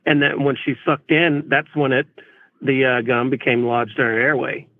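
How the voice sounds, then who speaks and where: A man's voice: medium pitch, little pitch movement, normal speed, dry recording, phone-quality sound. A polite, plain-spoken man, a police officer, recounting events conversationally as an interview guest.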